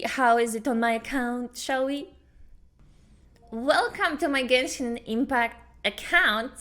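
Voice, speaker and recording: Bright-voiced excitable woman streamer. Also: fairly high pitch. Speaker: reaction video host, gaming streamer. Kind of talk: casual reaction commentary. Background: game music.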